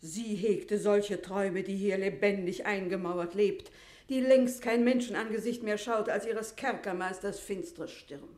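An indignant, loyal lady attendant acted by a woman in a classical radio drama. She declaims verse in a lamenting way at medium pitch, with strong room echo around her voice. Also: fairly even intonation, unhurried, darkish tone, lightly acted.

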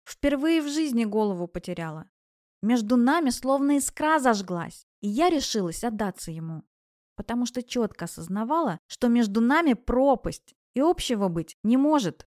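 Woman acting a worried young female student, higher pitch, earnest, confessional, vulnerable, audiobook dialogue, dry studio sound. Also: big pitch swings, moderate pace, a little mellow, dry recording. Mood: rueful, confessional. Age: young adult. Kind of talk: audiobook character voice.

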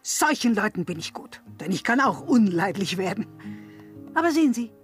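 An actress playing a teasing, inquisitive, scheming maidservant in a radio play, pert and prompting. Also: female voice, medium pitch, very animated, normal speed, soft background music, close to an everyday voice. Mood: intrigued. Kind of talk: German radio play acting of classic comedy.

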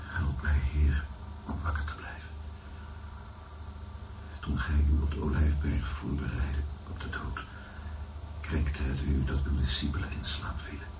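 An actor playing a solemn, earnest priest in a radio drama, with grave and measured liturgical delivery. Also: male voice, very deep voice, level tone. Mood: hushed prayer. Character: solemn male priest praying, slow and earnest. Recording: echoey, distant, noisy phone-like band.

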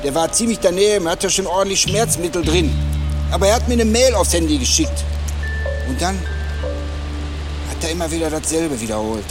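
An actor playing an agitated, blunt police investigator who talks in a colloquial, rambling, halting way in a naturalistic radio drama. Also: male voice, on the high side, expressive, lightly acted. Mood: weary, grumbling. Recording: music bed, car and street sounds.

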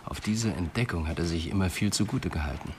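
An actor playing a calm, probing police inspector in a radio drama, measured and insistent. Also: male voice, sits low. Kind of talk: German radio drama acting. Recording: faint background noise.

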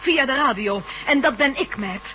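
A woman playing a resolute woman in a radio play, speaking quietly and firmly. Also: high voice, expressive, normal speed, faint music behind. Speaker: Val, a woman staying behind. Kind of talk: 1980s radio play acting.

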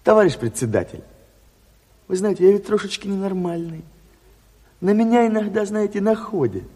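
A man's voice: high-pitched, lively intonation, moderate pace, dark tone, slightly rough. An actor playing a cornered, whining man who feigns shell-shock fits in a theatrical Soviet radio drama. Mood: whining, feigning fits. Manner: whining, wailing, repeats himself pleadingly. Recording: reverberant studio of 1970s radio play.

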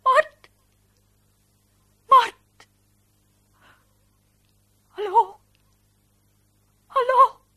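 A woman's voice: very high-pitched, very slow, long pauses, sharp tone, full character voice. An actress in a radio play playing a shaken, fearful wife, fretting and pleading with her husband. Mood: desperate. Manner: fretful, pleading. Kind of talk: Dutch radio play acting.

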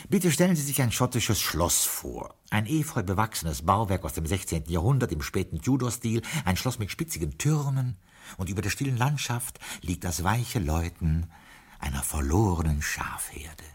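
A man's voice: low voice, big pitch swings, normal speed, husky. A man speaking as a butler narrating a radio play, with a nasal, buttery delivery and every breath audible, from an old mono archive recording. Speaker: actor.

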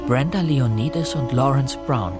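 An earnest, self-doubting young investigator played by a male actor narrates a radio crime drama. His mid-pitched voice sits over clearly audible music. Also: normal speed, close to an everyday voice. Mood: earnest, reflective. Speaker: narrator and protagonist.

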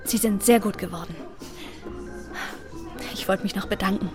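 An actress playing a lively, friendly young female student in a German radio drama. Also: mid-range voice, moderate pace, over music, close to an everyday voice. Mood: friendly, curious. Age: young adult.

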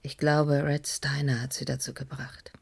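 An actress playing a weary, shaken researcher in a German radio play, hesitant and earnest, with a breathy, husky voice. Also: female voice, very deep voice, level tone, moderate pace, full character voice. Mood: subdued, explanatory. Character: weary, shaken female researcher recounting a disaster.

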